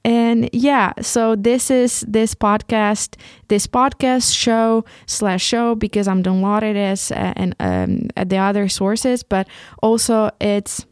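A young Ukrainian woman with a Ukrainian accent, speaking English as a second language. She hosts a solo podcast and talks in a halting way, restarting and repeating words often. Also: medium pitch, smooth, no echo, clean studio sound. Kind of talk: casual solo podcast monologue.

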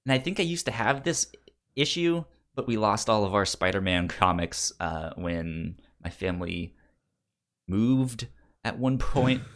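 Low-voiced man with a halting delivery. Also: lively intonation, a bit bright. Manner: halting, often stammers and repeats words. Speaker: podcast co-host and debater. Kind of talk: casual film debate.

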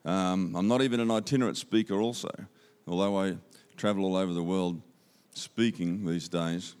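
A man's voice: deep voice, steady tone, normal speed, a little mellow, smooth. A man with an Australian accent giving a church sermon as a guest speaker, upbeat and conversational, repeating key phrases for emphasis. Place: church auditorium. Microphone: handheld microphone.

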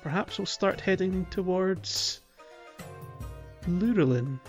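A man with a fairly high voice hosts a casual gaming podcast, chatting and steering the talk. The sound is a little dull and lo-fi, with music behind him. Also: takes it slowly. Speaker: podcast host.

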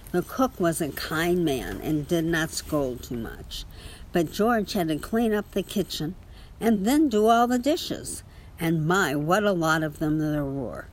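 A woman reading a children's picture book aloud in an expressive storytelling manner. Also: low voice, slight background noise. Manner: expressive storytelling with small spoken asides. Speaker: story reader.

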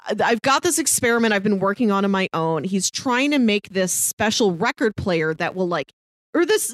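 Female podcast co-host, mid-range voice, casual recap chat, animated storytelling with acted-out quotes, dry recording. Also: medium pitch, no room sound.

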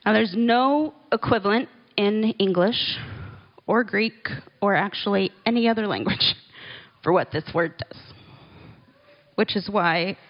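Slow, unhurried woman teaching scripture. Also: medium pitch, lo-fi sound. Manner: unhurried and conversational, with little laughs and asides. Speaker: Bible teacher. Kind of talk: relaxed church teaching talk.

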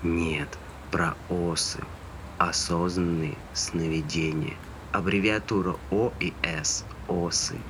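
A very low-voiced man as an eager, dreamy pal, played by an actor. His speech is casual and slangy, gently persuasive, in stage-play dialogue. The recording carries clear background noise. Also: steady tone, takes it slowly. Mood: eager, confiding. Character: eager, dreamy male friend, amateur songwriter. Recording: noisy stage recording with ambient sound.

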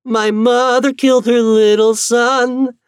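A man voicing an enchanted storybook bird, eerie and mournful yet proud, in slow, drawn-out singsong verse. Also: a little high, wildly swooping pitch, darkish tone, husky, dry recording, studio quality, pitched above the performer's own voice, heavily acted.

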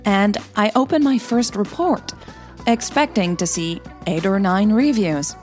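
A woman with a soft, breathy voice, speaking as a podcast host and storyteller. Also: medium pitch, a little slow, soft background music. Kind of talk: scripted story narration and listener thanks.

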